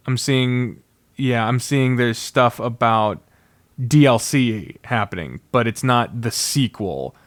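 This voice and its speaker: Guy rambling on his podcast, mid pitched voice, thinks out loud n swears, really dry sound. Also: dry recording. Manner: casual swearing. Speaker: podcast host. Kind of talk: casual solo podcast commentary on games.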